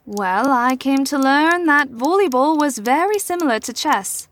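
High-voiced, poised female mentor. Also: lively intonation, fairly bright tone, slight background noise. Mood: probing, lecturing. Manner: formal, theatrical, measured. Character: poised, probing female mentor and chess player. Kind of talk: scripted audio drama acting.

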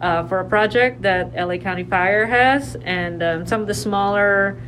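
A woman's voice: mid-range voice, normal speed, fairly bright tone. A woman on a garden staff giving a conversational interview, hesitantly searching for words. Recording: clear ambient background noise.

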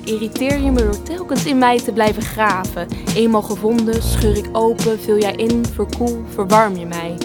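Vrouw draagt poëzie voor met muziek. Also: female voice, a little high, moderate pace. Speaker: spoken word poet. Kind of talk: poetry recital. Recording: music behind the voice.

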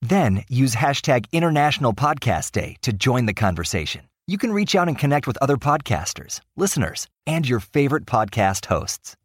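A man's voice: medium pitch, big pitch swings, moderate pace, few pauses, no echo. Upbeat guy doing a promo read, voice bounces around a lot, dry studio sound. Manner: upbeat, polished announcer delivery. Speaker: promo announcer.

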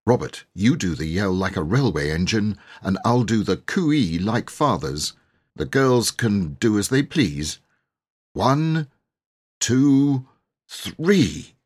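A man voicing a bold, bossy boy who leads his siblings in a storybook, commanding, deliberate and emphatic. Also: on the low side, big pitch swings, darkish tone, husky, heavily acted. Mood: planning.

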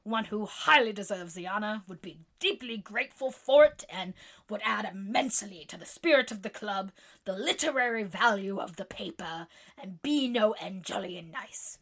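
A woman's voice: medium pitch, animated, normal speed, a bit bright. A woman playing a boisterous tomboyish young woman who speaks in a hearty, theatrical, mock-formal way.

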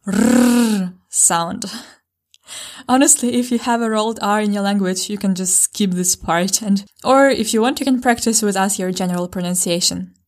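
A woman with a Ukrainian accent teaches a podcast language lesson. She voices each example word slowly and clearly so learners can copy it. Her voice sits at an ordinary middle pitch for a woman. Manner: clear, slow model pronunciations.

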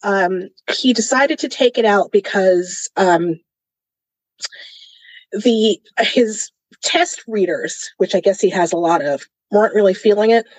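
A woman with a medium-pitched voice co-hosts a book podcast. She talks casually and reads prose aloud. The sound is dry, with little room to it. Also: slow, no room sound, rough recording. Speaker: podcast co-host.

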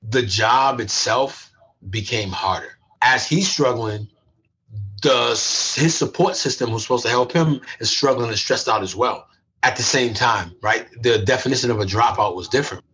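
A man's voice: mid-range voice, moderate pace, pushed and bright, breathy edge, low-quality recording. An American man talking conversationally on a panel as a youth education worker, punctuating his points with "right?".

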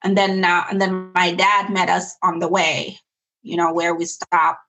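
A woman's voice: on the low side, little pitch movement, unhurried, fairly bright tone. A woman talks in an interview about the nonprofit she founded. She speaks earnestly and reflectively, often restarting a phrase before she goes on. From South Sudan. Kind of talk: interview conversation.

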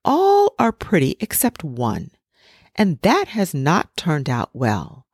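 A woman's voice: low voice, huge pitch swings, takes it slowly, a little mellow, slightly rough, no echo, clean studio sound, voice pushed up, heavily acted. A woman voicing a grand old female duck with a haughty, condescending manner.

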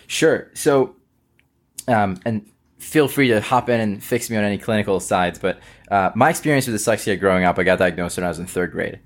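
Lower-voiced Israeli-born young man. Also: fairly quick. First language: Hebrew. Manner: earnest, personal storytelling with fillers. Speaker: guest, company founder. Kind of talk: casual interview.

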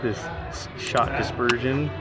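A man's voice: medium pitch, steady tone, measured pace. A man in casual banter, sarcastic and given to crude humour. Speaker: golfer. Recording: music playing behind the talk. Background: music.

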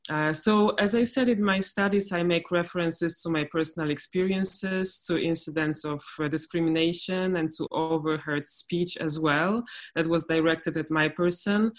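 A woman from Poland, an academic guest on a podcast, answering thoughtfully with frequent "uh" hesitations. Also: deep voice. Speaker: guest, linguist and trainer. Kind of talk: conversational interview answer.

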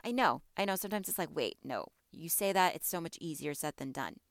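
A mother hosting a motivational podcast, her voice a little raspy from allergies, talking earnestly and encouragingly and asking her listeners question after question. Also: sits low, animated, fairly quick, no echo, clean studio sound. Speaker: podcast host.

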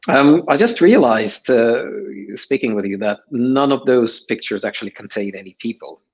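A man's voice: mid-range voice, expressive, moderate pace, breathy edge, highs cut off. A middle-aged man giving interview answers in a hesitant way, with many fillers and restarts. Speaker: guest.

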